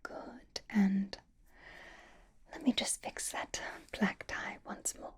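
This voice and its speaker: A woman voicing a doting, possessive, elegant vampire in an intimate, coaxing, breathy whisper for an ASMR roleplay. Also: natural voice. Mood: reassuring. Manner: intimate, coaxing, slow and genteel.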